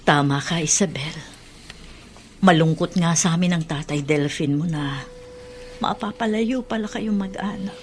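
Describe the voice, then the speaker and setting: An actress plays an accepting, kindly mother of middle years. Her voice is fairly low, in radio drama style, with faint music underneath. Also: measured pace, close to an everyday voice. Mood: gentle sadness. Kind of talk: Filipino radio drama acting.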